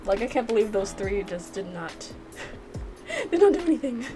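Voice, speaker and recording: A woman's voice: on the high side, very animated, normal speed. A woman hosting a gaming channel, casually reacting and narrating with playful, chatty asides. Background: music.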